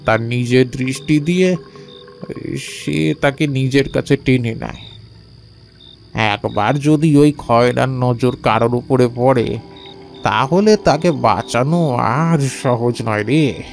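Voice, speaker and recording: A man's voice: medium pitch, slow pace, vocal fry, faint music behind. A man playing a grave, sorrowful village father, giving ominous warnings and repeating phrases in a horror audio drama.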